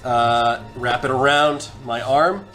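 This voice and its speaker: A man's voice: medium pitch, steady tone, takes it slowly, bright, forceful tone, soft background music. A man playing a tabletop game, bantering casually in a wry, joking way.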